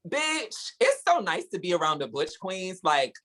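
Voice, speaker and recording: A young man hosting a casual podcast chat, animated, laughing often and swearing playfully. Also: high voice, normal speed. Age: young adult. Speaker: podcast host.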